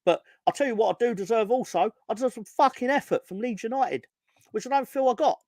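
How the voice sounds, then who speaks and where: A hoarse man ranting on a casual football fan podcast, swearing and rambling with many restarts. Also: very high-pitched, quick pace, darkish tone, dry recording. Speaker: podcast co-host.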